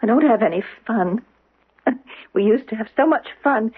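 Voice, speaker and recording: Submissive young woman, bewildered, pleading, childlike, faintly husky, radio play, muffled vintage sound. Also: fairly high pitch, expressive, normal speed. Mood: weary, wistful. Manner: plaintive, repetitive, childlike pleading. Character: submissive, bewildered young female singer under hypnotic control. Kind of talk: 1970s radio drama acting.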